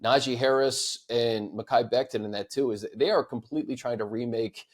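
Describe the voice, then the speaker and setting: American man, mid-pitched voice, sports podcast host, conversational, repeats words, fielding fan questions. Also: fairly quick.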